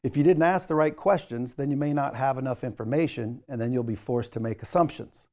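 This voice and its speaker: Mellow-voiced male sales trainer, emphatic. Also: mid-range voice, brisk. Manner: emphatic, jokey asides, coaching tone. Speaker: sales trainer, course instructor. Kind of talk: instructional presentation to camera, direct address.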